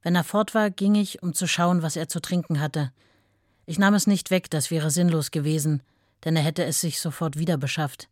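A woman's voice: sits low, fairly even intonation, moderate pace, a little mellow, no echo, clean studio sound. An actress playing a worn-out, candid ex-wife who gives her recollections in a radio drama. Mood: matter-of-fact.

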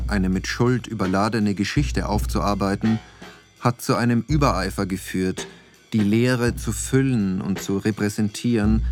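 A man's voice: on the low side, natural voice. A man delivering scripted narration in a radio play. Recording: faint music under the voice. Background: faint background music.

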